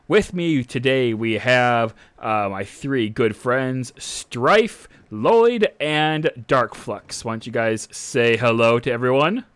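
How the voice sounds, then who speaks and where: Young male DM, unhurried and casual, reads lore in a close, dry booth sound. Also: mid-range voice, lively intonation, a bit bright, smooth, no echo. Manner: unhurried, casual with frequent "uh" and "all right". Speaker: dungeon master. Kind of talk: game master reading a setting synopsis to a live stream.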